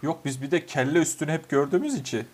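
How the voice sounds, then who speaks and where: A man's voice: a little high, lively intonation, very fast, slightly rough. A young man chats casually and playfully as a podcast co-host. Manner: playful, jokey. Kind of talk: casual chat.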